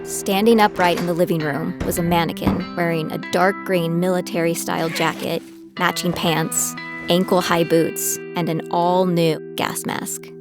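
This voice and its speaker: Woman acting a gritty young female survivor, lowish voice, music behind. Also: flat delivery, normal speed, close to an everyday voice. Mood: reflective, measured. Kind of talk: first-person scripted audio drama narration.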